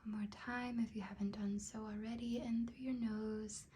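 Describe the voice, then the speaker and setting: A woman leading a guided meditation in a breathy, whispery ASMR voice, speaking gently and soothingly with long unhurried pauses. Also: medium pitch, level tone, a little hiss or hum.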